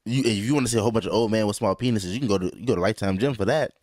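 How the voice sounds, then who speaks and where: A man's voice: on the low side, rapid-fire, darkish tone, dry recording. A young man co-hosting a podcast, joking and rambling in loose casual banter from a small studio. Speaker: podcast co-host. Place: small home studio, indoors.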